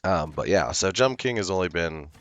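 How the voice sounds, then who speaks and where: A young man streaming a game, with a hoarse voice from illness, chatting slowly and loosely. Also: sits low, lively intonation, a bit bright. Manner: slow, rambling, laid-back talk. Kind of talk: casual livestream chat.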